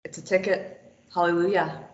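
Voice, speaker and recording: A woman's voice: lowish voice, fairly even intonation, normal speed, vocal fry. A woman playing a bitter, sarcastic, self-deprecating young woman who wants to run away, in a stage play table read. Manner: sarcastic, dismissive. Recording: dull, roomy, echoey recording.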